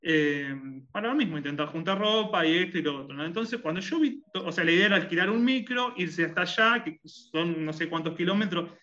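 A man's voice: high voice, lively intonation, brisk, few pauses, pushed and bright, dull audio. A man with a Rioplatense accent, a guest in a conversational interview, talking with many fillers, restarts and hesitations. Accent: Rioplatense Spanish. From Argentina and Uruguay.

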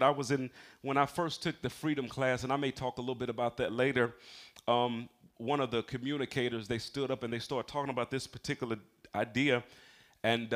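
American man preaching, emphatic and conversational. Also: mid-range voice. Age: 40s. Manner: emphatic, conversational, calls for amens. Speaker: pastor. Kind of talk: sermon, personal testimony. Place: speaking to a congregation in a church building.

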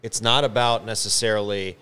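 A man talks casually among friends on a podcast. He lays out his opinions emphatically, often repeating a phrase as he builds his argument, in a slightly low-pitched voice. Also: steady tone, fairly quick, bright, forceful tone, clean tone. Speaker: podcast co-host.

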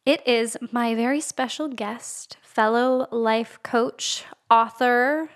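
A woman's voice: fairly high pitch, takes it slowly. A woman from Canada hosting a podcast as a life coach, talking enthusiastically and laughing often. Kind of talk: podcast interview.

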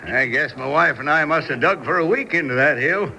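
An actor playing a cocky, scheming frontier homesteader with rustic speech in an old-fashioned Western radio drama. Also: male voice, a little high, a little fast, sharp tone. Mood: boastful. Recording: noisy 1970s radio drama broadcast.

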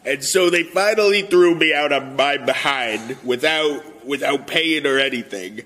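A man playing an indignant Italian-American Bronx guy, telling his tale haltingly and repetitively in a radio comedy sketch. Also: medium pitch, bright, forceful tone, poor audio. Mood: aggrieved.

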